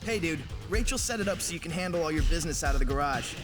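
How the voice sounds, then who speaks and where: Actor as young male street-racing coach, high-pitched, slangy, emphatic, video game tutorial, loud music behind. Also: animated, racing, lightly acted. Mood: friendly.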